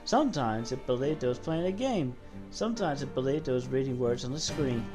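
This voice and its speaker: A woman voicing a solemn godlike storyteller, giving a measured, dramatic reading of game dialogue. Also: extremely low pitch, expressive, over music, voice pushed down. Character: low-voiced godlike cosmic being, solemn storyteller.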